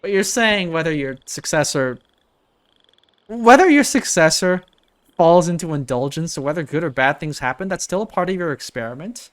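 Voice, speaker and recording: A woman's voice: low voice, lively intonation, moderate pace. A woman reacting to a game in a casual, slangy, exclamatory way. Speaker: reaction video host.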